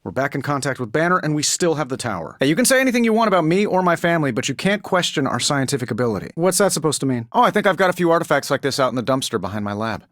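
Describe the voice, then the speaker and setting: An actor playing a cocky, quippy superhero inventor in a video game, glib and sarcastic. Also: male voice, on the high side, big pitch swings, racing, few pauses, no room sound, studio quality. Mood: wry, breezy. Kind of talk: video game voice acting, scripted quips.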